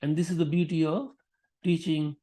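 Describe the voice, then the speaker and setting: A man speaking off the cuff about religious education, pausing often, restarting his sentences and adding Arabic honorific phrases. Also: fairly high pitch, steady tone, warm tone. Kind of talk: unscripted talk on teaching.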